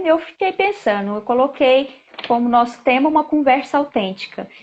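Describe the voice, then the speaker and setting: A Brazilian woman hosting a live online chat, talking casually with plenty of fillers. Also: sits high. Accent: Brazilian Portuguese. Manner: chatty, reads viewer comments aloud, many fillers. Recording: dull lo-fi live-stream audio.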